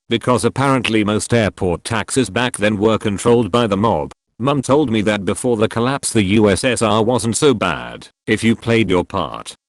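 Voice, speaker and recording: A man narrating, reading forum posts aloud in a steady, even delivery. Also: on the low side, dry recording, studio quality.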